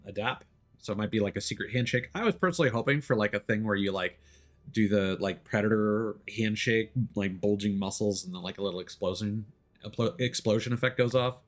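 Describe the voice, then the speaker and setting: Low-voiced man casually talking through a game. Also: brisk. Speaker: game streamer. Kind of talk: casual let's-play commentary.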